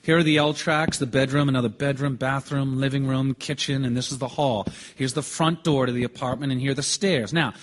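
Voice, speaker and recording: An actor playing a calm, methodical juror in a stage radio drama, measured and quietly insistent as he explains. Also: mid-range voice, close to an everyday voice.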